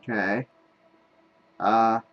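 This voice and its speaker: Guy doing a chill let's play, thinking out loud as he goes, music playing behind him. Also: on the low side, steady tone, very slow, lo-fi sound. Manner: thinks aloud while playing. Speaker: gaming video host. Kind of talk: casual let's play commentary.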